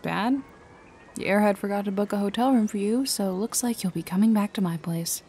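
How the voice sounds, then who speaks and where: A woman's voice: medium pitch, brisk, warm tone, a little hiss or hum, close to an everyday voice. A woman playing a sarcastic female private detective in a noir ASMR roleplay, with a dry, casually streetwise delivery. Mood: dry, teasing. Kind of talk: noir ASMR audio roleplay, intimate second-person acting. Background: music, rain and city ambience.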